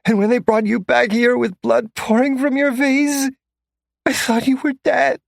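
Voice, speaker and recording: A man's voice: very high-pitched, level tone, a little slow, creaky, dry recording, studio quality, pitched above the performer's own voice, exaggerated voice. A man voicing a terrified young woman held captive, screaming and pleading. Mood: panicked.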